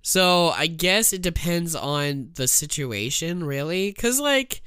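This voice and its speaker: A man co-hosting a casual podcast, sick and a bit rough-voiced, joking and swearing between laughs. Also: fairly high pitch, lively intonation, slow, pushed and bright, no echo, studio quality. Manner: joking, profane, laughs a lot. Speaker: podcast co-host. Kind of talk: casual chat.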